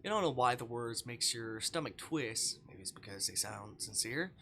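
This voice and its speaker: A man's voice: mid-range voice, lively intonation, normal speed, pushed and bright, a little hiss or hum. A man reading a visual novel aloud on a live stream, narrating dramatically and adding casual asides.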